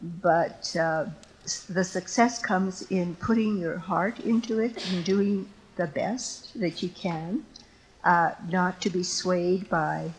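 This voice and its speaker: An older woman on a lecture panel answering an audience question in a halting, thoughtful way with many fillers. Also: on the low side, a little hiss or hum, low-quality recording. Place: lecture stage before an audience.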